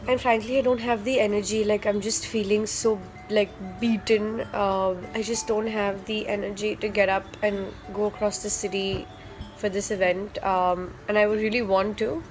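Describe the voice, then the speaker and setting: A woman's voice: medium pitch, flat delivery, moderate pace, faint music behind, poor audio. A young woman, chatting to camera in a casual vlog, upbeat and full of "like" and "um". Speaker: vlogger.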